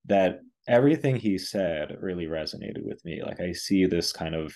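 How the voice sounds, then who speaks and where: A man's voice: low voice, expressive, normal speed, darkish tone. A man hosting an interview, asking questions in a searching way with many fillers. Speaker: interviewer, host.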